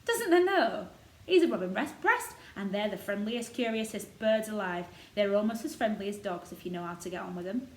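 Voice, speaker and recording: A woman's voice: mid-range voice, expressive, brisk, a little mellow, lightly acted. Storybook role: blunt old Yorkshire gardener, rustic dialect, played by a woman, echoey room. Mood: fond, friendly. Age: older. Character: gruff old Yorkshire male gardener, blunt, fond of robins. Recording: roomy echo, noticeable background noise.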